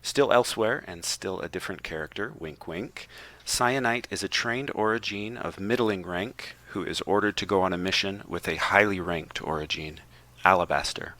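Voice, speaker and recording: Low, bright-voiced man reading wryly. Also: normal speed. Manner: wry, tongue-in-cheek delivery. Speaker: podcast co-host reading a book synopsis. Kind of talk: reading a prepared summary with joking asides.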